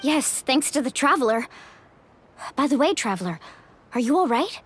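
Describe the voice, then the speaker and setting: A woman's voice: sits high, sing-song, normal speed, slight background noise. A woman playing a caring, spirited young female warrior leader in an anime-style video game. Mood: relieved, warm.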